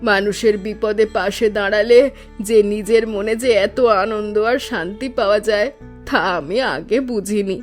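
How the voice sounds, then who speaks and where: A woman voicing a compassionate old village wife in a cartoon story, homely and gently chiding. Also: medium pitch, steady tone, normal speed, close to an everyday voice. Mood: fond, content. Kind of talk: Bengali cartoon story voice acting. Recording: music behind the voice. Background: music.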